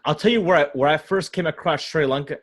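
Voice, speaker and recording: A man's voice: a little high, fast. A man from Ohio hosting a casual conversation, loose and talkative, often checking in with "right?". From United States, Ohio. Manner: loose, filler-heavy, asks "right?" a lot. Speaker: podcast host.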